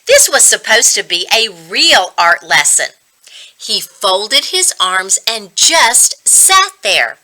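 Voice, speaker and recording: A woman reading a children's story aloud as a reading coach, deliberate and expressive. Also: medium pitch.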